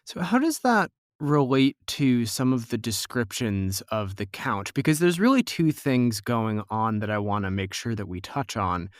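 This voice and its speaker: Male interviewer, mid-pitched and dry-recorded, conversational and organized podcast delivery. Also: big pitch swings, unhurried, few pauses, no echo.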